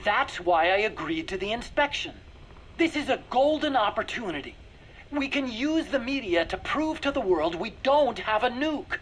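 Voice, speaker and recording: An eager engineer in a video game. The voice is light and high for a male, and he talks in an earnest, persuasive, slightly nervous way. There is faint noise behind it. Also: very animated, normal speed, fairly bright tone, heavily acted, poor audio.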